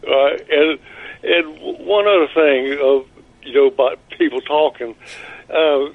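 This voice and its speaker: A man from Mississippi, calling in to a radio show and speaking haltingly and politely. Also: on the high side. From Biloxi, Mississippi. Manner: halting, full of restarts, polite "yes, sir". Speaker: caller. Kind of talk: phone-in chat. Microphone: telephone. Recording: tinny phone line.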